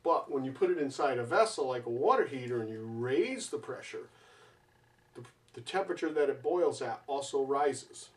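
A middle-aged man earnestly explaining home safety to a camera in a basement, often pausing with fillers. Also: medium pitch, lively intonation, far from the mic. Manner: earnest, gestures with his hands, many fillers. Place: basement beside a water heater, indoors.

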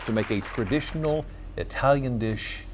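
A man co-hosting a local TV morning show, energetic and jokey, bantering while he helps cook on a studio kitchen set. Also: mid-range voice, moderate pace, crowd noise, background noise. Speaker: TV show co-host.

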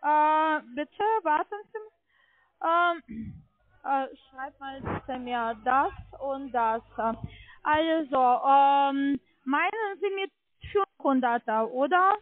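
A woman with a foreign accent in German making a halting phone sales pitch, often searching for words. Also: no echo. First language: not German. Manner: halting, hesitant speech with frequent searching for words. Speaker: telephone sales agent. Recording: tinny narrowband phone line.

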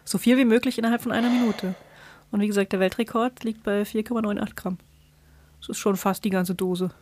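A woman with a medium-pitched voice talks as a podcast co-host. She explains things casually, as if chatting across the table with her partner. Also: fairly even intonation, a little fast, darkish tone, slight background noise.

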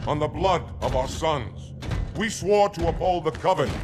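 An actor playing a noble, stern and zealous alien military commander in a game, delivering formal, rousing oratory. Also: male voice, on the high side, expressive, normal speed, very noisy, lightly acted. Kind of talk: dramatic game cutscene acting.

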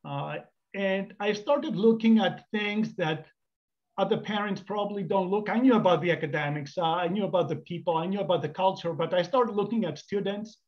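A man's voice: high voice, normal speed, highs cut off. A middle-aged man from Greece, a parent speaking on a school panel, candid and reflective with many fillers. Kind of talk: panel discussion.

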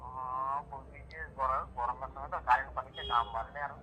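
A man with a rural Medinipur Bengali accent in a casual phone chat, halting and repeating himself. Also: medium pitch, little pitch movement. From West Bengal, India. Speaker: prank call recipient. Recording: noisy phone call, clear background noise.

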